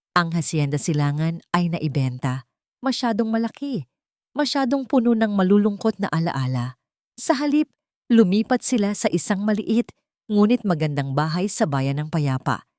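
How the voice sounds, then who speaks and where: A woman's voice: on the low side, darkish tone, no echo, clean studio sound. A woman reading a scripted, dramatic story as narrator.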